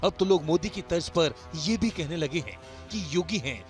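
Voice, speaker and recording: A man's voice: on the high side, animated, normal speed. A man narrating a scripted, dramatic news report as a voice-over. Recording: voice-over laid over loud music. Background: music.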